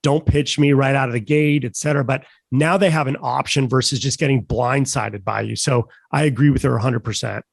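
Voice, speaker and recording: Croaky man, mid pitch, talks sales in a relaxed, measured way with fillers. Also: dry recording. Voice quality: croaky, gravelly. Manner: measured, folksy, with many fillers. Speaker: sales panelist. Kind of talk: casual panel discussion.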